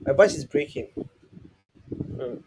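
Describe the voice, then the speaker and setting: A man with a husky voice, teaching a live online class in a rambling way, full of restarts and fillers. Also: mid-range voice, animated, slow pace, background noise. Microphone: online call.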